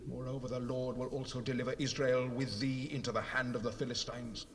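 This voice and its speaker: A man's voice: mid-range voice, flat delivery, fairly quick, natural voice. A man playing the ghost of a stern old prophet in a dramatized Bible, solemn and reproachful as he declaims. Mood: stern, condemning. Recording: long reverb, noisy 1970s cassette rip.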